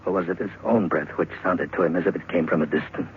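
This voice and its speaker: A man narrating radio horror in a theatrical 1940s style, suspenseful, with deliberate pauses. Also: low-pitched, slight background noise. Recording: tinny vintage radio recording, music behind.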